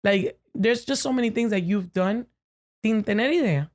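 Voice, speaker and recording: A woman co-hosting a podcast with a deep voice, talking earnestly to a friend and often restarting her sentences. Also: darkish tone. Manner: earnest, halting with many restarts. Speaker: podcast co-host. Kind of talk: casual heartfelt chat. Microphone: podcast microphone, no camera.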